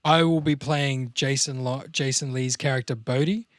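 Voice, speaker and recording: A man's voice: medium pitch, steady tone, normal speed, dry recording, clean studio sound. A man chatting casually as a podcast co-host.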